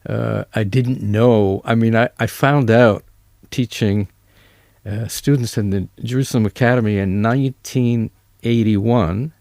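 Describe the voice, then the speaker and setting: A man with an American accent telling anecdotes casually as an interview guest, in halting phrases that often restart. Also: lowish voice, steady tone, gravelly, a little mellow, no room sound, clean studio sound. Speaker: musician and music educator, interview guest.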